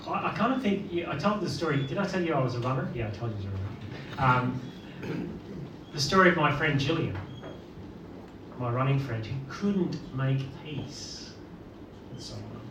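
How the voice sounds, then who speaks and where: A man's voice: medium pitch, big pitch swings, moderate pace. A middle-aged man from Northern Ireland with a Northern Irish accent, preaching a sermon and asking the congregation rhetorical questions. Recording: roomy, distant mic with background noise.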